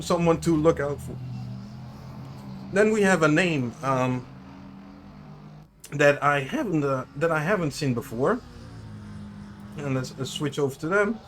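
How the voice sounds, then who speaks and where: Dutch guy calling a sim race live, kinda high voice, rambling and fixing his own words. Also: a little hiss or hum. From Netherlands. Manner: chatty, self-correcting, unsure of name pronunciations. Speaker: race commentator. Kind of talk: live sim racing commentary.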